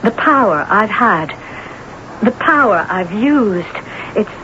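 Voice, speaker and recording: Remorseful wife in old radio drama. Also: female voice, medium pitch, expressive, takes it slowly, bright, forceful tone. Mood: troubled, remorseful. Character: remorseful wife who believes she has the evil eye. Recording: dull, faintly noisy old radio recording.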